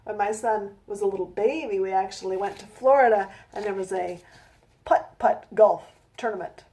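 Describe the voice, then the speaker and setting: A woman author reading her children's book aloud in a gentle sing-song manner, with chatty asides to young listeners. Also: medium pitch, normal speed.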